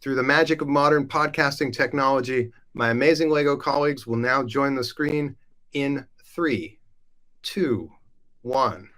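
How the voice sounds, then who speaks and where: A man's voice: mid-range voice, a little slow, a bit bright, poor audio. A man hosting a live company webcast in an upbeat, enthusiastic presenting manner. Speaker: host.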